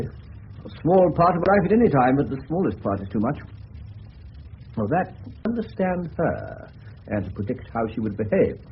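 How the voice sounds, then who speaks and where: An actor playing a middle-aged English colonel in a radio drama, with an upper-class accent and a precise, formal, fatherly manner. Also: medium pitch. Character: older English colonel, eccentric, clipped, fatherly to a boy. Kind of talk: 1970s radio drama acting. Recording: muffled, noisy old radio broadcast.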